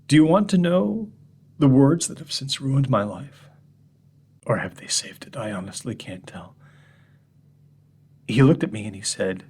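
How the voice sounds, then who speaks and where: A man reading a horror story as a shaken, bitter narrator telling gentlemen how his life came apart, veering between loud outbursts and hushed whispers. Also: on the low side, husky.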